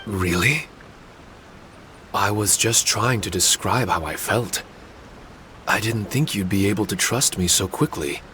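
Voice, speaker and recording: Man as a gentle, low-voiced wistful spirit. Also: background noise, close to an everyday voice. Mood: grateful, humble. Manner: measured, polite, pensive. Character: gentle, earnest, wistful male spirit without memories. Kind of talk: video game voice acting.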